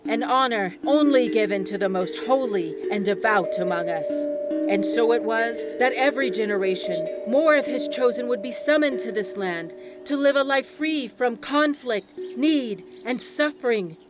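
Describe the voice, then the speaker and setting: A woman playing a devout island priestess in an audio drama, telling sacred history as solemn, reverent, measured oratory. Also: on the high side, over music, lightly acted. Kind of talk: ceremonial storytelling in an audio drama.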